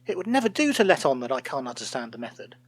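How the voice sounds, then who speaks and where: A man's voice: medium pitch, very animated, quick pace, dry recording. A man voicing a flustered old woman, fretful and eager to please, in a storybook tale. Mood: anxious, bewildered. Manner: fretful, then gushing. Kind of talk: storybook character voice.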